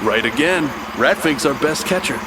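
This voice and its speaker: An actor playing a cool mutant rebel leader in a cartoon, calm, deliberate and smug. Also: male voice, a little high, distant mic, noisy background.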